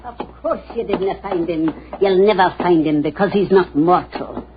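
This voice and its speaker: An actress playing an ominous, superstitious older housekeeper in a 1940s radio drama, with a Scottish accent and a dour, foreboding manner. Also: female voice, fairly low pitch, measured pace, lightly acted. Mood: grim warning. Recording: muffled, noisy old 1940s radio recording.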